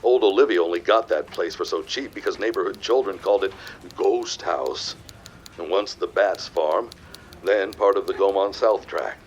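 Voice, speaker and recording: A man's voice: low-pitched, normal speed, a little hiss or hum, lightly acted. An actor playing an arrogant, scheming 1910s businessman with haughty, formal diction in an audio drama. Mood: curt, scheming. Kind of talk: period audio drama acting.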